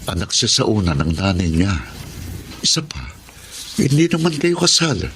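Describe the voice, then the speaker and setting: A man's voice: fairly low pitch, sing-song, normal speed, a bit bright, slight background noise. An actor playing a lustful, coaxing middle-aged stepfather in a Filipino melodrama. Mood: coaxing, amorous. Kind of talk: Filipino radio-style melodrama acting.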